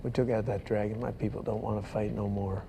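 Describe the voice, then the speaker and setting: An actor playing a weary, wry small-town marshal in a sci-fi western, with a laconic folksy drawl. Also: male voice, fairly low pitch, little pitch movement, fast, vocal fry, background noise. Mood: wry, guarded. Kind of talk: screen sci-fi western drama acting.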